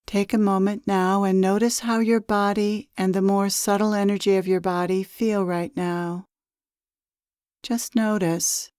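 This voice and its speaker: A woman leading a channeled guided meditation, speaking calmly and soothingly in measured phrases with long pauses. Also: medium pitch, clean tone, no echo, clean studio sound. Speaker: spiritual teacher leading a meditation.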